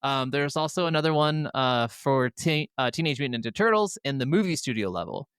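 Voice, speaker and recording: A man's voice: medium pitch, lively intonation, brisk, fairly bright tone, clear, resonant tone, no echo, clean studio sound. A man co-hosting a podcast, chatting casually about video games in an enthusiastic, freely swearing way. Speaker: podcast co-host.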